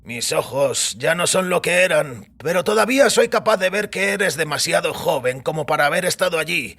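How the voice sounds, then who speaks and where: A man voicing a weary, ceremonious old priest in audio fiction, raspy and creaky, slow and savoring as he instructs. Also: a little high, animated, pushed and bright, voice pushed up, exaggerated voice. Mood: grave, hesitant. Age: older.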